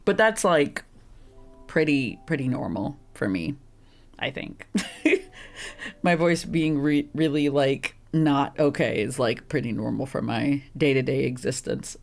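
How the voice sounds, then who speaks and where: Woman streamer w a really low rough voice, kinda stuffy and hoarse, rambles and laughs at herself a lot. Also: expressive, slow, soft background music. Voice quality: deep, rough voice. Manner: rambling, self-deprecating, laughs often. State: congested, slightly hoarse. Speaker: streamer playing a visual novel. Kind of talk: casual gameplay commentary.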